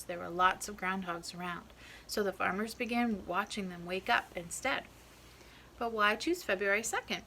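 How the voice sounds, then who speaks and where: A woman with a bright, slightly creaky voice reads a picture book aloud as a teacher would for first graders. She is instructive and checks in with her young listeners. There is noise behind her. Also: mid-range voice, low-quality recording. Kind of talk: children's storybook read-aloud with explanatory asides.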